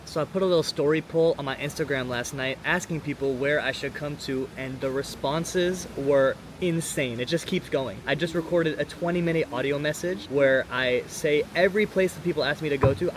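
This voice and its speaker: A man's voice: fairly high pitch, noisy background. An upbeat, chatty young man from New York, a vlogger and yoga teacher whose first language is Hebrew, talking casually to camera. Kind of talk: casual vlog talk to camera.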